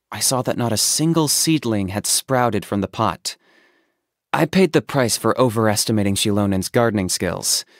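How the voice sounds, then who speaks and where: A stoic young hunter-for-hire from a video game, speaks in a fairly low voice. His delivery is measured and matter-of-fact, with a businesslike deadpan. The sound is very dry and close. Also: no room sound. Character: stoic young male mercenary saurian hunter, pragmatic, businesslike. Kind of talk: scripted video game character monologue.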